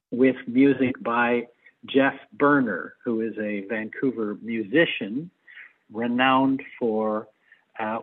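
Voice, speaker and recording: A man, likely middle-aged, speaking as an interview guest in a measured, hesitant way, picking his words. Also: mid-range voice, slightly rough, narrow, tinny audio. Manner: hesitant, measured, repeats words while choosing them. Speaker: theatre adapter and actor, guest. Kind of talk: interview conversation.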